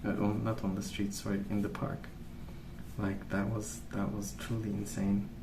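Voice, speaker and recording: Man, soft-spoken ASMR, flat intonation, meandering and unhurried, roomy sound, noticeable background noise with pencil colouring. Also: lowish voice. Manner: hesitant, meandering, unhurried recollection. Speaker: ASMR video host. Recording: roomy, distant mic, noisy background.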